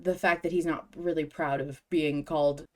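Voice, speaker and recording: A woman's voice: sits low, fairly even intonation, moderate pace. A woman co-hosting a casual fan discussion podcast, talking emphatically with gushing enthusiasm and some swearing. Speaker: podcast host.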